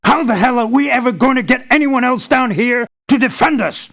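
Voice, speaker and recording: An actor playing a blunt, anxious and demanding boss who hires mercenaries in a video game, speaking in an emphatic, articulate, businesslike way. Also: male voice, very high voice, moderate pace, vocal fry, fairly bright tone, full character voice. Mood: exasperated. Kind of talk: video game character voice lines.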